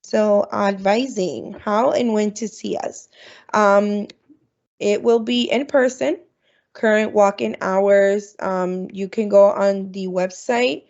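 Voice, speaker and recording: Gravelly woman on a muffled video call. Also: medium pitch, steady tone, slow pace, darkish tone. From Florida, United States. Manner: chatty, lots of ums. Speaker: international student advisor. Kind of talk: presenting at an online orientation. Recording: dull, lo-fi video call audio.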